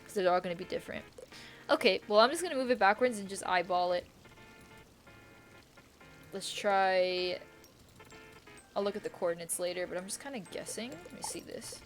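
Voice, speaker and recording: A woman's voice: medium pitch, expressive, normal speed, long pauses. A woman live streaming a game, thinking aloud in a casual, rambling, indecisive way and repeating herself. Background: quiet music.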